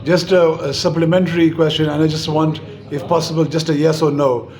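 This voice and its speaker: Brisk, quick-talking male interviewer. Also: fairly high pitch, little pitch movement, darkish tone. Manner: brisk, precise questioning.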